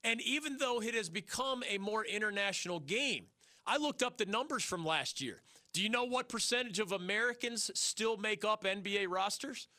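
Sports radio host, male, high bright voice, fast and emphatic, dry studio sound. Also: lively intonation, dry recording. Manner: fast, emphatic, opinionated, rising to rhetorical peaks. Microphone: studio mic.

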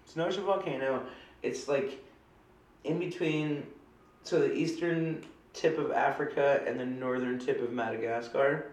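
A woman's voice: a bass voice, steady tone, a little slow, a little mellow. Stuffy-nosed chatty woman, roomy sound. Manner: chatty, rambling, playful. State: stuffy from a cold. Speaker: podcast co-host. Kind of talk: casual chat.

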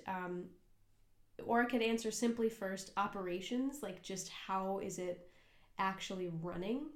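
A young American woman co-hosting a casual podcast, talking earnestly and at length with plenty of fillers and restarts. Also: medium pitch. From United States. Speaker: podcast co-host and cafe worker. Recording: echoey room.

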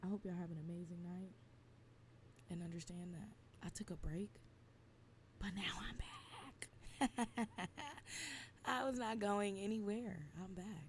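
A woman in her twenties, slightly raspy, venting bluntly and emphatically in a casual solo talk. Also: mid-range voice, slow, pauses often, slight background noise. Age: 20s. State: slightly raspy from lack of sleep. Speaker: podcast host.

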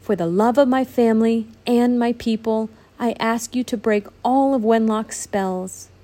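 A woman's voice: fairly high pitch, measured pace, dark tone, natural voice. Lady doing a brave young fairy tale princess, loving, storybook reading. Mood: earnest. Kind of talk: storybook read-aloud.

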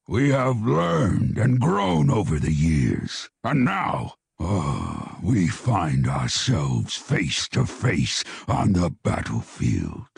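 An actor playing a proud, fierce, battle-worn orc general in a fantasy game, with a gravelly, raspy voice and solemn, dramatic delivery. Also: male voice, on the low side, dry recording, clean studio sound, full character voice. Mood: solemn, resolute. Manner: solemn, dramatic, deliberate declamation.

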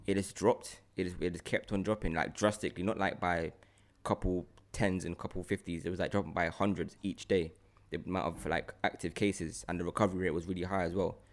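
A young man co-hosts a casual chat. He rambles through his stories in an animated way, leaning on everyday slang. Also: deep voice. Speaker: podcast co-host.

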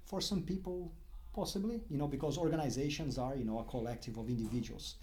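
Middle-aged Brazilian-accented man, mid-pitched voice, interview guest who stops and restarts often. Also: darkish tone. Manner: halting, many restarts and fillers. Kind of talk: casual interview talk.

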